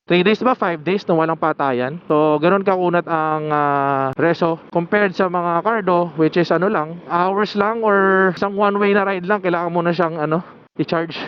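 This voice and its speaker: A man with a Filipino accent talking casually to viewers in a product review, conversational and repeating himself, recorded on a wireless helmet microphone. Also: sits high. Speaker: motorcycle vlogger reviewing gear. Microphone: wireless helmet intercom microphone. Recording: muffled helmet intercom mic, clear vehicle noise.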